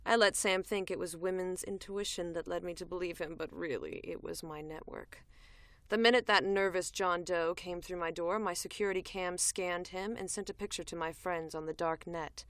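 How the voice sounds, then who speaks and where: A woman playing a cool, wry, tough female bounty hunter in an audio drama, deadpan and conversational. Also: fairly low pitch, dry recording. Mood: calculating. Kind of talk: audio drama, first-person narration and dialogue.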